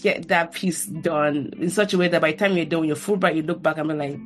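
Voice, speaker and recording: Lower-voiced woman hosting a podcast chat. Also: fairly even intonation, quick pace, faint music behind. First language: Yoruba. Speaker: podcast host; cancer researcher. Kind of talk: casual conversational interview.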